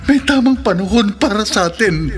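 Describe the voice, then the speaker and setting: A man's voice: sits high, lively intonation, moderate pace. A man playing a torn, earnest husband in a melodramatic horror radio drama, with a Filipino accent. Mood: pleading, earnest. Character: torn, earnest husband caught between two women. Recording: dramatic music behind the voice.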